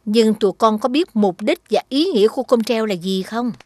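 A woman voicing a caring but gently firm young mother in a children's cartoon. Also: a little high, animated, normal speed, dry recording. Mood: disapproving.

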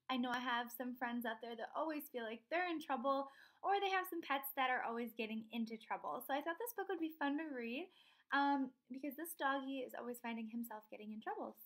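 A young woman reading a picture book aloud expressively, stopping to put questions to her young listeners. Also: high voice. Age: young adult. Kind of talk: expressive children's read-aloud. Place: small room indoors at home.

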